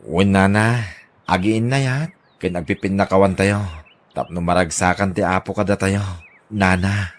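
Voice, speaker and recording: A man's voice: deep voice, unhurried, pauses often. A man acting as a humble, devout older father in an Ilocano radio drama, with an Ilocano accent. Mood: humble, earnest.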